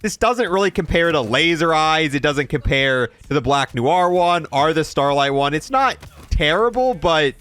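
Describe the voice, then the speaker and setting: A man's voice: on the high side, animated, normal speed, fairly bright tone. A young man doing casual gameplay review commentary, informal and thinking aloud. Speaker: gaming video host.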